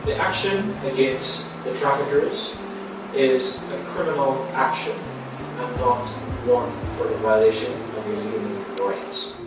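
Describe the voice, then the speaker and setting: A man's voice: mid-range voice, a little slow. A man in his twenties with a Guyanese accent, giving a scripted explanation as a student presenter. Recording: very echoey, distant mic, loud music.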